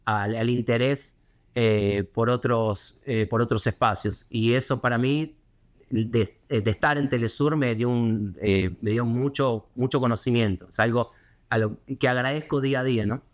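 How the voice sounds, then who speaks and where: A man with a Buenos Aires accent, a correspondent answering interview questions in a reflective, halting way with many fillers and restarts. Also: mid-range voice, fairly even intonation. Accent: Buenos Aires Spanish. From Argentina.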